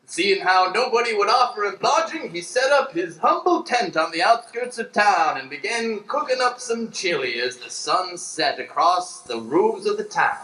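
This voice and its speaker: A man's voice: high-pitched, big pitch swings, normal speed, hardly any pauses, bright, forceful tone. A man narrating an improvised Western radio serial on stage in a dramatic, folksy style. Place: live improv show on a stage. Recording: echoey stage.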